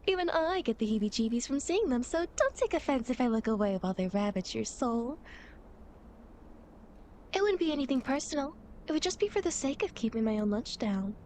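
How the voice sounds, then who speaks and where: A woman's voice: fairly high pitch, very animated, fairly quick, darkish tone, slight background noise. A woman playing a playful, teasing demon girl who guides dead souls, in a coy, dramatic ASMR roleplay. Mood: squeamish, teasing. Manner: coy, mischievous, mock-sympathetic, dramatic. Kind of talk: ASMR roleplay, acted monologue to the listener.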